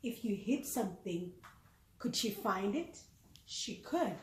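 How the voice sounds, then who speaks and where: A woman reading a children's storybook aloud expressively, with deliberate dramatic pauses. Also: mid-range voice, lively intonation. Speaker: read-aloud reader. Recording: roomy echo, clear background noise.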